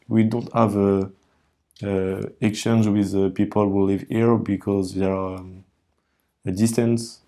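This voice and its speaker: Slow, halting young Frenchman. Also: on the low side, warm tone. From France. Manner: halting, many fillers and restarts. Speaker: exchange student. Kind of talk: documentary interview answer.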